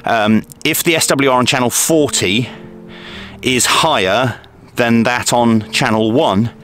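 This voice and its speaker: A man's voice: mid-range voice, slow, a bit bright, faint music behind. A middle-aged man presenting a casual hobby tutorial, explaining step by step in a hesitant way.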